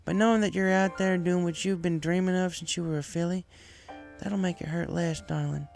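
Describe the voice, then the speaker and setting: A man's voice: high voice, fairly even intonation, a little fast, creaky, a little mellow, pitched above the performer's own voice. A man voicing a tender, folksy female farm pony in a story reading, full of affectionate endearments, with music behind. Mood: tender, wistful.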